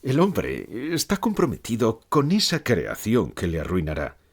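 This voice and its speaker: A man's voice: lowish voice, huge pitch swings, a little slow, breathy edge, heavily acted. A man playing a gloomy old philosopher who gives grave, pessimistic answers in a scripted interview.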